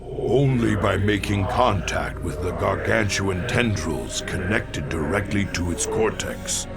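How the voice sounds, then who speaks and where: A man voicing a grim alien warrior, narrating in a measured, grave way. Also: deep voice, creaky. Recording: orchestral music behind.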